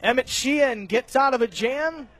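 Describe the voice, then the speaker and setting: An American man doing live radio play-by-play of a baseball game, describing each pitch and play in detail. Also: normal speed. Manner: detailed, narrates each pitch, animated on big plays.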